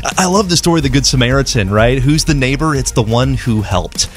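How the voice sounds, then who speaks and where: A man's voice: medium pitch, animated, brisk. Man raised in Zimbabwe, earnest charity spokesman, passionate and repetitive, faint music bed. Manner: passionate, storytelling, repeats words. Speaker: charity representative on radio. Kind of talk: earnest appeal on radio.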